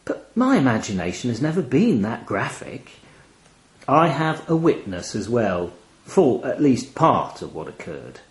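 Expressive male story reader, roomy echo. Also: mid-range voice, measured pace. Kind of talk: first-person literary short-story narration.

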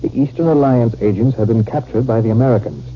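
An actor playing a smooth, duplicitous army captain in a 1950s radio drama. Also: male voice, mid-range voice, quick pace, close to an everyday voice. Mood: cold, conspiratorial. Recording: muffled, hissy 1950s radio recording.

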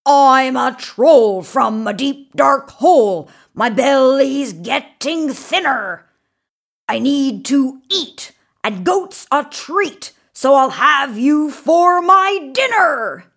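A woman's voice: on the high side, fairly even intonation, very slow, vocal fry, few pauses, voice pushed up, heavily acted. A woman voicing a big, hairy, furious male troll in a children's story, roaring and chanting in a menacing sing-song.

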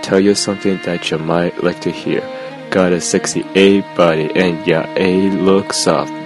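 A man giving a deadpan dramatic reading of rap lyrics, reciting them like plain speech. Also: deep voice, measured pace. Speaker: podcast co-host. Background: music behind the voice.

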